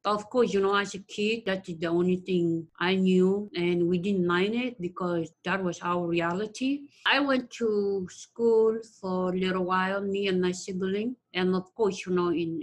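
Low-voiced Ugandan woman recalling her life. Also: poor audio. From northern Uganda. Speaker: interview guest telling her life story. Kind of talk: personal recollection in conversation. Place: remote video call from a city home.

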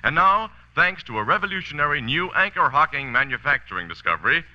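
A man's voice: fairly high pitch, sing-song, fast talker, sharp tone. Old timey radio ad man, super punchy, swooping sales delivery, kinda muffled lo-fi recording. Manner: emphatic, polished salesmanship. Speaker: commercial announcer. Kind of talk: vintage radio advertisement, scripted pitch. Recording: dull vintage radio recording.

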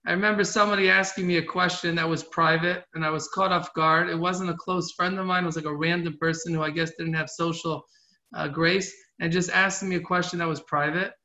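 High, sharp-voiced man teaching, echoey. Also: steady tone. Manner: halting, repeats and rephrases himself, earnest. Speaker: rabbi giving a class. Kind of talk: informal religious lecture with question and answer. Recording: muffled, echoey room recording.

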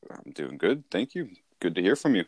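Very low-voiced man coaching. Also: very animated, brisk, darkish tone, slightly rough, no room sound. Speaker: sales mentor. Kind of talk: informal training talk.

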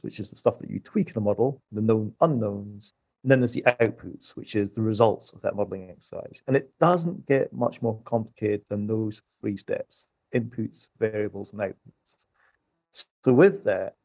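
A man's voice: lowish voice, vocal fry, a little mellow, no room sound. A man speaking as an expert guest in a podcast interview, laying out an explanation methodically, step by step. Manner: methodical, lays points out step by step. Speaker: economist guest.

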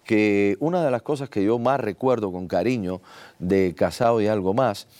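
A man's voice: lowish voice, normal speed, warm tone. A man hosting a casual interview show, joking with his guest, laughing often and speaking straight to the audience. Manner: playful, laughs often, addresses the audience directly. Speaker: talk show host.

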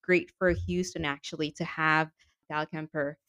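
A woman co-hosting a podcast in casual chat, enthusiastic and laughing often, her voice a little raspy from yelling. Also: deep voice, little pitch movement, moderate pace. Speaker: podcast co-host. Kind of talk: casual chat about women's soccer.

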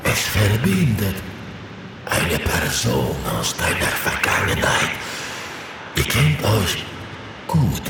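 A mystic male seer in a fantasy audio drama, drenched in echo and set far off. His low voice moves slowly through solemn, cryptic phrases in halting pieces. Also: very noisy, full character voice. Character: mysterious male seer reciting a prophecy in flowery words.

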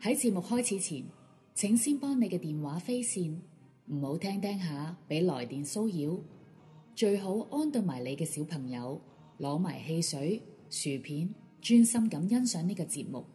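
A woman's voice: on the low side, very animated, normal speed, warm tone. A woman with a Hong Kong Cantonese accent reading a scripted pre-show announcement. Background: background music.

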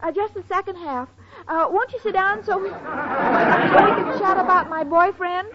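A woman's voice: very high voice, normal speed, a loud crowd. An actress playing a ditzy, naive and sweetly literal young woman in a 1940s radio sitcom. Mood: innocent chatty. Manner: scatterbrained, innocently earnest. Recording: muffled, noisy 1940s radio before audience.

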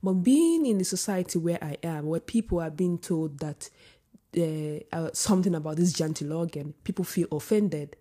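A woman with a husky voice giving an opinionated vlog monologue, often restarting sentences and rising into emphatic outbursts. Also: fairly low pitch, expressive, moderate pace, mellow. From Sweden. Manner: many restarts and filler words. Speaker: vlogger. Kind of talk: casual opinion monologue to camera.

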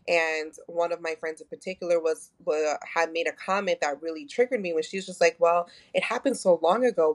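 Candid chatty woman, low voice. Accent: Texan. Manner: open, animated, many fillers, acts out quotes. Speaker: podcast guest. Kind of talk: candid conversational storytelling.

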